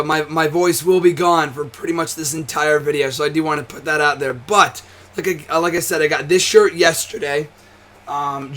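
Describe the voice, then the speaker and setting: A hoarse, tired young man hosting a wrestling show, chatting casually to camera with a nearly gone voice, rambling, swearing and restarting often. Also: on the high side, a bit bright. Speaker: wrestling commentary host. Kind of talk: casual to-camera vlog chat.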